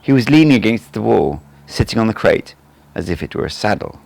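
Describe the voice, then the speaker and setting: A man reading a book aloud as an amateur audiobook narrator, in an expressive storytelling style. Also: fairly low pitch, brisk, vocal fry.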